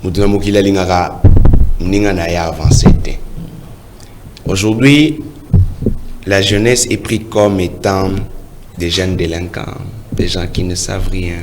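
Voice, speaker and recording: A man's voice: deep voice, unhurried, pauses often. A man with a Congolese French accent, a local council candidate speaking earnestly in an interview and exhorting voters, switching between French and Lingala. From Kinshasa, Congo. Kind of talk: campaign interview. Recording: loud background noise.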